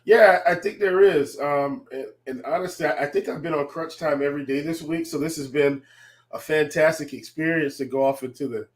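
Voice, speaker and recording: A man with a stuffy, congested voice from a cold, giving casual sports analysis with halting restarts. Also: fairly high pitch. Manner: halting, many restarts and fillers.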